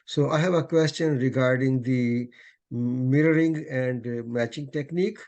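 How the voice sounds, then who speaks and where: A man's voice: mid-range voice, a little slow, darkish tone, dull audio. A man whose first language is not English, asking a coach a question in a group session with careful, hesitant phrasing. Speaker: session participant.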